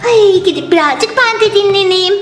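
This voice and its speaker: A woman plays an eager little girl in a toy cartoon story, with an extremely high voice. She is chirpy, caring and a bit bossy. The room echoes and music plays behind. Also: moderate pace. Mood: busy, contented. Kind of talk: children's toy-play storytelling.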